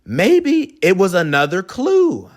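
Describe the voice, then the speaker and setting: A man hosts a storybook read-aloud for children. His voice sits high for a man and moves around a great deal. He tells the tale expressively, with plenty of drama. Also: wildly swooping pitch, takes it slowly.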